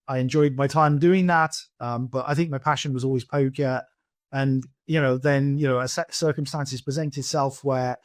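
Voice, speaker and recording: A man's voice: mid-range voice, no echo, studio quality. Chatty Welshman in his forties. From Wales. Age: 40s. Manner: rambling, many fillers and restarts, laughs often. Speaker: podcast guest, poker pro. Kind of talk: casual interview chat.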